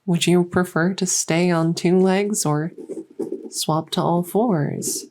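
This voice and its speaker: A woman voicing a gentle fairy dragon girl doctor in a soft-spoken ASMR roleplay, reassuring her patient with hesitant pauses. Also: lowish voice, steady tone, a little hiss or hum. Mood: gentle, encouraging.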